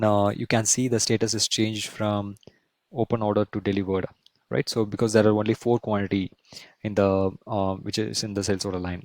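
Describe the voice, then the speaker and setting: A man instructing through a screen-share software tutorial, explaining step by step and often rephrasing and checking with tag questions. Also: lowish voice, no room sound.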